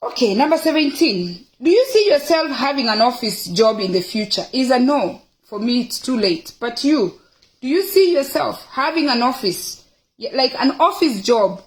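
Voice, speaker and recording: High-pitched Kenyan woman, emphatic talker. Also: animated, normal speed, rough recording. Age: middle-aged. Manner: emphatic, repeats phrases for stress. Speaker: host of a personal livestream. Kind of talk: casual, opinionated talk to viewers, reading out questions.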